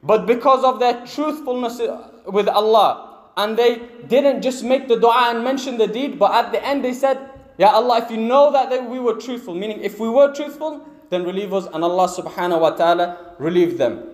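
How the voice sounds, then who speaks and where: A man delivering a Friday sermon as a preacher, retelling a story with emphatic, drawn-out invocations. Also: very high-pitched. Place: mosque prayer hall. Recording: echoey hall with long reverb.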